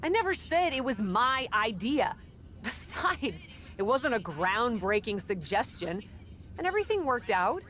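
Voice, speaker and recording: An actress playing a young pro wrestler in a video game, offhand and breezy with a defensive edge. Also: female voice, on the high side, very animated, a little slow, a bit bright. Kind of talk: casual video game cutscene dialogue.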